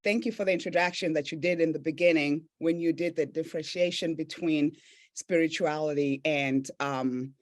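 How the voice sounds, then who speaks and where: A woman from East Africa with a somewhat low voice, a business owner and coach speaking as a guest. She tells her story earnestly and reflectively, often checking in with a quick "right?". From Tanzania and Zambia, East Africa. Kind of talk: personal storytelling in an interview.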